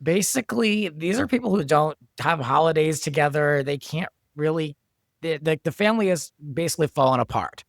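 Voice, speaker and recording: A man's voice: on the high side, animated, a little fast, vocal fry, no echo, studio quality. A man talking as a podcast guest and show creator, explaining things conversationally and thinking aloud as he goes. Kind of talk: conversational behind-the-scenes discussion.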